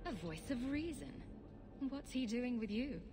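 An actress playing a cool, questioning woman in a video game, speaking in a measured, probing way. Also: on the high side, lively intonation, slightly rough, exaggerated voice. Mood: wry. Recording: quiet, reverberant game audio with music.

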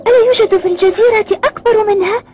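A woman's voice: extremely high pitch, rapid-fire. A woman voicing an eager, curious young child in an Arabic cartoon dub, questioning in formal classical diction. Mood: amazed, curious. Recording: very noisy old cartoon dub soundtrack.

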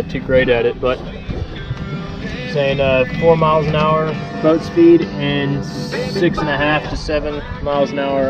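A man's voice: mid-range voice, dull audio. Young man narrating from a sailboat. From Texas. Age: young adult. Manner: relaxed, with many "uh" pauses. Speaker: sailing vlogger. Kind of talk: casual narration while sailing. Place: outdoors on a small sailboat on a lake. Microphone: action camera on the boat. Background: wind, water and loud music.

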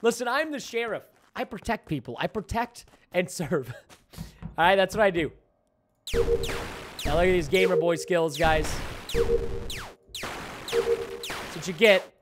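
A man's voice: high-pitched, lively intonation, unhurried, long pauses, music in the background. Playfully dramatic man streaming a game. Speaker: gaming streamer host. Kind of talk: live gameplay commentary with friends.

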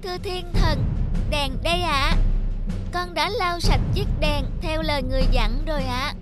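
A woman's voice: high voice, normal speed, close to an everyday voice. A woman voicing a young, chatty, earnest child angel trainee in a children's cartoon. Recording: loud background music under the voice.

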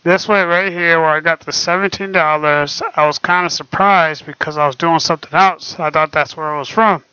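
A young man talking quietly and hesitantly with many fillers, recorded on his laptop microphone at home. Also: on the high side, fairly even intonation, moderate pace, clear, resonant tone, no echo. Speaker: vlogger. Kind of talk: casual screen-recorded talk. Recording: dull lo-fi laptop mic.

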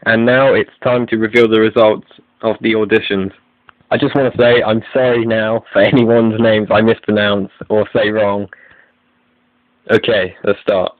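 A man's voice: fairly low pitch, little pitch movement, moderate pace, no echo. A man hosting an online video update, reading out announcements in a scripted style. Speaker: video creator and host. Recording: phone-like, narrow lo-fi sound.

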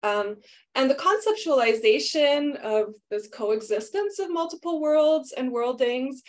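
A woman film scholar giving a conference paper over a video call, speaking in a measured way with frequent ums and uhs. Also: high voice, animated. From Canada. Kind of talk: academic conference talk.

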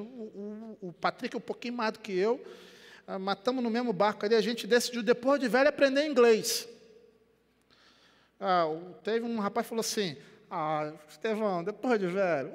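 An older man from Rio de Janeiro preaching a sermon in Brazilian Portuguese on a headset mic in a church, animated, dropping to quiet and rising again. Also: very high voice. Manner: animated preaching with wide gestures, swings between quiet and loud. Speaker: pastor. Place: church sanctuary. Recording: long church hall reverb.

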